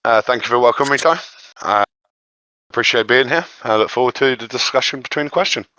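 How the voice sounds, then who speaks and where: A man speaking as a guest in a casual discussion, explaining his points in a measured, halting way over lo-fi audio. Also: medium pitch, bright, forceful tone, dry recording. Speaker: guest panelist.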